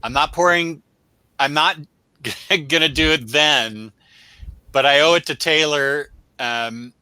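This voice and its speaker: A man with a very bright, forceful voice pitched a little high, co-hosting a casual review show. He talks bluntly and emphatically, full of opinion, in unscripted chat. The sound is dry and close. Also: takes it slowly, clear, resonant tone, no echo, rough recording. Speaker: co-host of a comic book review show.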